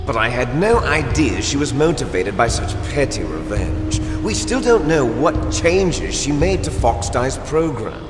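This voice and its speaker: An actor playing a bitter, gloating villain twin with grand ambitions in a video game, theatrical and taunting. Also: male voice, medium pitch, very animated, measured pace, hardly any pauses. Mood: smug, explaining. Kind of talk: dramatic video game voice acting. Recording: echoey hall reverb under loud music.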